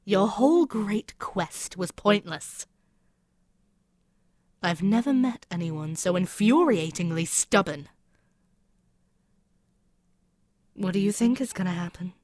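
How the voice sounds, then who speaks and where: A woman's voice: mid-range voice, expressive, measured pace, a little mellow, no echo, studio quality. A woman playing a haughty, scheming demon queen in an audio roleplay, taunting and menacing in a theatrical way. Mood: exasperated, taunting. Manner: haughty, taunting, theatrical, dropping at times to near-whisper. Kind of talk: scripted ASMR audio roleplay, dramatic monologue.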